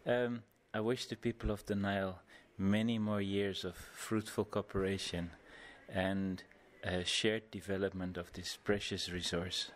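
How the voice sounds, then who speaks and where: A man from the Netherlands working as a diplomat, giving a measured, formal wish. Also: deep voice, flat delivery.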